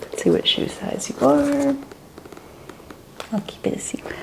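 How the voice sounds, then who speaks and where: A soft, breathy woman, often whispering, doing a playful, coaxing ASMR roleplay as a security officer. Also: sits high, steady tone, unhurried, background noise. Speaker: ASMR performer role-playing a security officer.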